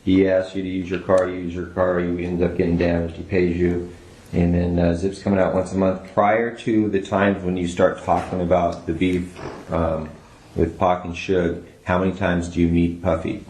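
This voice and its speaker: A male police interrogator with a deep, level, slightly dark voice goes over points step by step and asks informal questions. The sound is roomy, with a little noise. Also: level tone, moderate pace. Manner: methodical step-by-step recapping. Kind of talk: informal investigative questioning and recapping. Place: echoey room, recorded police interrogation.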